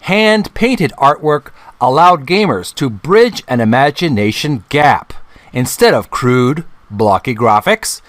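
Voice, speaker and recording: A middle-aged man hosting a channel, reading a book aloud in a deliberate, halting way, phrase by phrase. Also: medium pitch, expressive, a bit bright, dry recording. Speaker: video channel host.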